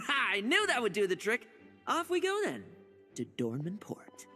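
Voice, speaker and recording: An actor voicing a cocky, sly, teasing young knight in an anime video game, glib and theatrical with a drawl. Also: male voice, high-pitched, wildly swooping pitch, a little slow, pushed and bright, faint music behind, heavily acted.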